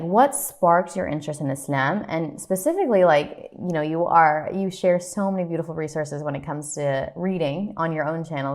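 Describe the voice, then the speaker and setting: Low-pitched woman host, warmly encouraging, eager questions. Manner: enthusiastic, encouraging. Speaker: podcast host. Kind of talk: interview questions.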